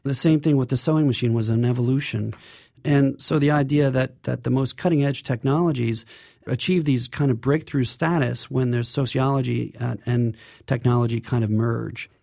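Male interview guest, engineer, earnest explainer, medium pitch, dry close recording. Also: steady tone, normal speed, gravelly, warm tone, no room sound.

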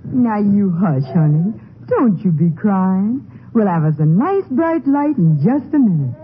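A woman's voice: mid-range voice, sing-song, measured pace. An actress playing a protective, fearful young widowed mother with a Southern US accent and folksy, urgent delivery in a 1950s radio drama. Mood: tender soothing. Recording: tinny, noisy 1950s radio recording.

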